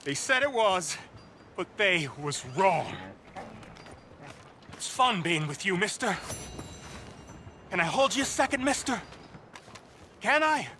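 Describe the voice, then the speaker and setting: A needy old American veteran from a Western game. His voice is high and swings wildly as he pleads and rambles in a childlike, lonely way. There is faint noise behind him. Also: sing-song, slow pace, pauses often, pushed and bright, exaggerated voice. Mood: eager, needy. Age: older. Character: lonely, pleading old male war veteran begging for coins. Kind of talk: video game character acting, Old West.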